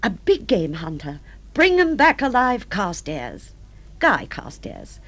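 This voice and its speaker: An actress playing a middle-aged social-climbing wife and fantasist in a radio drama, with a gushing, theatrical, affected manner. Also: female voice, medium pitch, wildly swooping pitch, slow pace, a bit bright, full character voice. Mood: boastful, excited. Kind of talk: mid-century radio drama acting.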